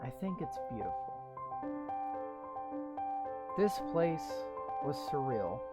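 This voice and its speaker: A man reading a game's story text aloud in a slow, deliberate way, breaking off for blunt, sweary, sarcastic asides. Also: medium pitch, sing-song. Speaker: gamer reading a visual novel aloud. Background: game music.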